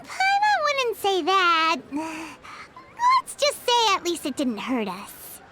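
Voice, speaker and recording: A woman voicing a tiny childlike fairy companion in a video game, squeaky and easily indignant. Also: wildly swooping pitch, slow pace, background noise, exaggerated voice. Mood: puzzled, frank.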